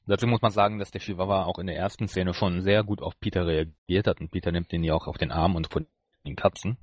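A man's voice: low voice, steady tone, fast talker, dry recording. A man co-hosting a podcast, explaining and reviewing in casual chat. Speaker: podcast co-host.

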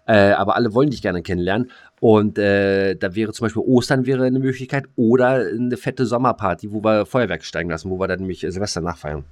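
A man's voice: lowish voice, steady tone, brisk. A man co-hosting a podcast in casual, slangy banter, rambling along and joking as he talks. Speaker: podcast co-host.

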